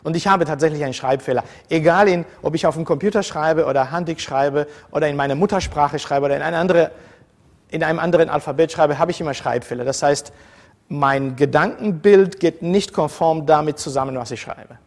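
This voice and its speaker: A man's voice: fairly high pitch, fairly quick. A man from the former Soviet Union, a university lecturer who speaks informally to his students, wandering off topic with frequent fillers and restarts. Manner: digressive and conversational, many fillers and self-corrections.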